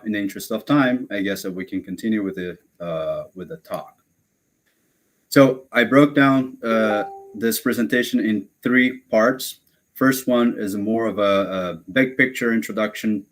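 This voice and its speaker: Deep-voiced Brazilian-born man lecturing, hesitant. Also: unhurried. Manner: hesitant, many fillers and restarts. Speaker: guest lecturer. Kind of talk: academic webinar lecture.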